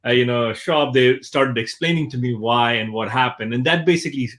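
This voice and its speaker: A man from Pakistan, a guest on an interview show, telling his story in a relaxed, chatty way with many fillers. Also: medium pitch, brisk. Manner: relaxed and chatty, with many fillers like "uh" and "you know", laughs lightly at himself. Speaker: guest, technology executive.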